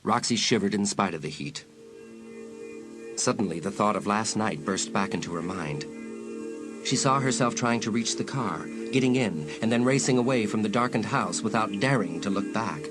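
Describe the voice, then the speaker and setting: Male suspense narrator with animated delivery. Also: on the low side. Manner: measured, dramatic pauses. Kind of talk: suspenseful dramatised audiobook narration. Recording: loud music behind.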